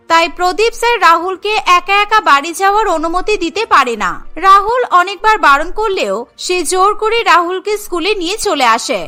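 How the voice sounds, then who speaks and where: A woman's voice: very high voice, bright, forceful tone. A woman narrating a scripted children's cartoon story.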